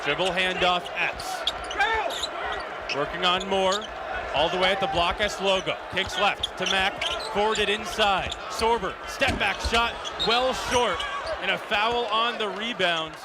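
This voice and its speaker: A man calling live basketball play-by-play on radio with an energetic, excitable delivery. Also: sits high, unhurried. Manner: energetic, rising excitement on big plays. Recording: loud arena noise behind the voice. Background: basketball arena with bouncing ball.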